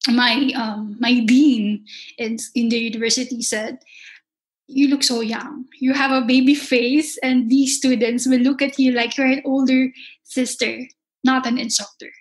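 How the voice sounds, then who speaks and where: A young woman with a Filipino accent, a culinary instructor answering interview questions with halting, careful phrasing and frequent restarts. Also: fairly high pitch, level tone, low-quality recording. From Philippines. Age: young adult. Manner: halting, many restarts, speaks with care.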